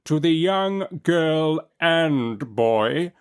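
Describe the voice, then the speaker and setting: A man voicing a grand, deliberate elderly judge in an audiobook, with drawn-out, over-distinct and hesitant delivery. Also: on the high side, lively intonation, clear, resonant tone, voice pushed up. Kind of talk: audiobook character acting.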